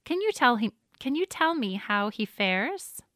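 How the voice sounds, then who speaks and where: A woman's voice: on the high side, sing-song, measured pace, sharp tone, no echo, studio quality, exaggerated voice. A woman voicing a kind, anxious young girl in a storybook, pleading politely for news of her father. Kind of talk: storybook character voice.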